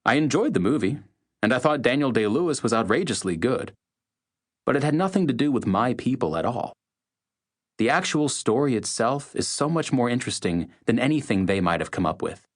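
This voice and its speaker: A man's voice: mid-range voice, sing-song, fairly quick. A man reading first-person oral history for an audiobook, with an opinionated, emphatic manner. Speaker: audiobook narrator. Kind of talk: first-person oral history testimony read as scripted narration.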